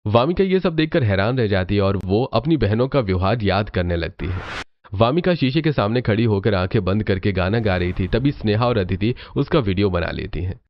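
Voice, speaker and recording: A man's voice: fairly low pitch, lively intonation, brisk, muffled recording, a little hiss or hum. A man narrating a family story from a script.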